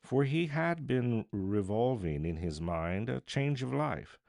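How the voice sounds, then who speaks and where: A man reading a classic story aloud as a voice artist, with measured, theatrical and expressive delivery. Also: medium pitch, creaky, no echo, clean studio sound. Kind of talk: dramatic storybook narration of a Victorian classic.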